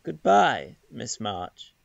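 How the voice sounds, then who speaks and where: An actor playing a polite, easily flustered young British gentleman suitor in a period audio drama, formal and hesitant. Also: mid-range voice, very animated, very slow, creaky, no echo, highs cut off, heavily acted. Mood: embarrassed.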